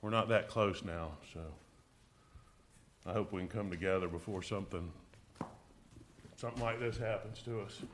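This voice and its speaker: A man with a deep voice chairing a local committee meeting, speaking in a plain, folksy way. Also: moderate pace, pauses often, a bit bright, slight background noise. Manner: plain-spoken, folksy, reminiscing. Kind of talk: public meeting, casual chat.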